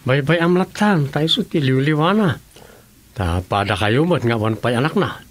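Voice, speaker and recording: A man's voice: mid-range voice, lively intonation, normal speed, close to an everyday voice. A man playing a chummy village brother-in-law in an Ilocano comedy radio drama, with an Ilocano accent.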